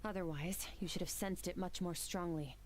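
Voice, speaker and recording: Low-voiced, aloof woman warrior for a game, calm and commanding, actress-played, light background hiss. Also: lightly acted. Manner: calm, measured, dry and commanding. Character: cool, composed young woman warrior, aloof. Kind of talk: video game voice acting.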